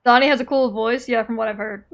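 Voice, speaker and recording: A woman's voice: a little high, fairly even intonation, fast, gravelly. A woman giving playful, joking, casual live commentary as a game streamer.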